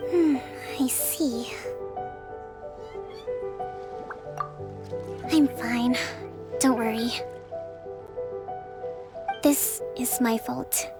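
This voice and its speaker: A woman playing a gentle young priestess leader in a video game, composed, measured and formal. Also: a little high, over music. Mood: regretful, composed. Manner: measured, earnest, formal diction.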